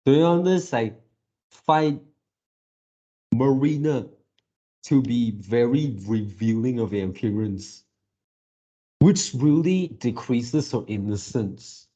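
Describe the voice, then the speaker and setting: A man giving casual fan commentary as a video creator, halting as he repeats words and searches for phrasing. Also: medium pitch, animated, mellow, lo-fi sound. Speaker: video creator reacting to a game series.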